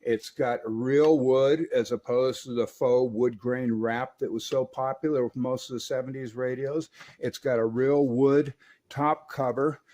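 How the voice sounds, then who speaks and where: A man's voice: medium pitch, a little mellow. A middle-aged man in a casual, chatty hands-on review, folksy and enthusiastic about old equipment. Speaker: radio repair reviewer.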